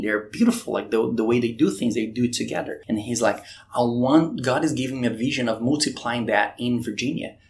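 Earnest Brazilian-accented man with gravelly edge. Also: medium pitch, slight background noise. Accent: Brazilian Portuguese. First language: Portuguese. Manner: earnest, retells dialogue with frequent restarts. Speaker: missionary guest. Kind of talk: storytelling testimony.

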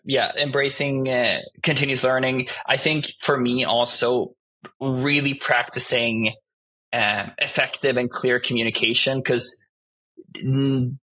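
A man's voice: mid-range voice, pushed and bright, slightly rough, poor audio. A man in his twenties whose first language is Swedish, a guest in an interview, talking casually and often restarting as he searches for words. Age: 20s. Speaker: guest, art director.

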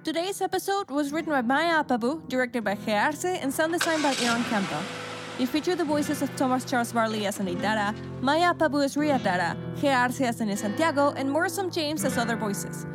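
Female credits announcer: high-pitched scripted read over prominent guitar music. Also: expressive, brisk. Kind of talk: scripted narration. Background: loud plucked guitar music.

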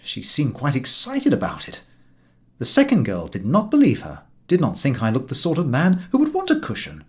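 Male audiobook narrator, highly animated intonation, mid-pitched, expressive comic storytelling. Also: moderate pace.

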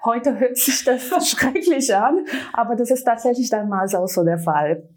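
A woman's voice: fairly high pitch, expressive, a little slow, a little mellow. A woman from Brazil, a native Portuguese speaker with a Brazilian accent, talking enthusiastically as a talk show guest with many fillers. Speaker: guest, brand manager.

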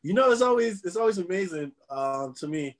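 A man hosting a podcast, chatting casually with a guest in an energetic, slangy way and laughing a lot. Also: high voice, a little fast. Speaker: podcast host.

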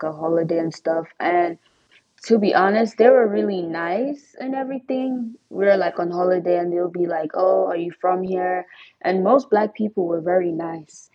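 Low-voiced young woman from Nigeria, slightly dull audio. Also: normal speed, a crowd in the background. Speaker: interview guest, student. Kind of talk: casual podcast conversation.

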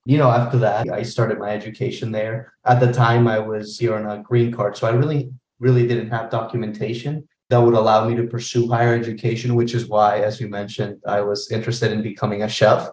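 A man's voice: fairly low pitch, level tone, fairly quick, hardly any pauses, darkish tone, reverberant, slight background noise, rough recording. A young man from Colombia, a guest telling his story in a friendly, candid way. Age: young adult. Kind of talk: casual interview.

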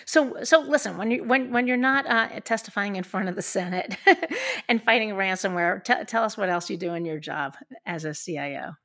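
A woman's voice: medium pitch, lively intonation, moderate pace, a bit bright. A woman hosting a casual interview, encouraging her guest and prompting her with questions. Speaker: interview host.

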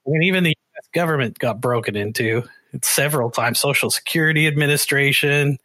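Bright-voiced Canadian man hosting a podcast. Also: medium pitch, brisk, no room sound. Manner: chatty, rambling, animated storytelling. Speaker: podcast host. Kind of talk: casual conversational interview.

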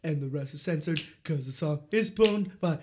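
High-voiced joking man. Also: moderate pace, noisy background. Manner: joking, rambling, prone to awkward jokes. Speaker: podcast guest. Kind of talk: casual comedic podcast banter.

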